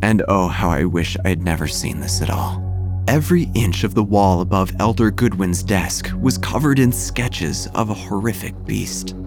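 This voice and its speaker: An actor playing a melancholy, haunted obituary writer, narrating gothic horror in a solemn, literary manner. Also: male voice, low-pitched, normal speed, over music. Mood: haunted dread. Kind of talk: scripted horror audio drama narration.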